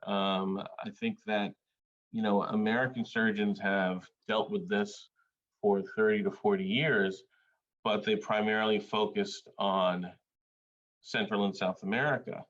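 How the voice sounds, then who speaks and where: A man originally from Bangladesh, a surgeon giving an academic panel presentation in a measured, hesitant way, with many filler sounds. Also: lowish voice, flat delivery, fairly bright tone, highs cut off.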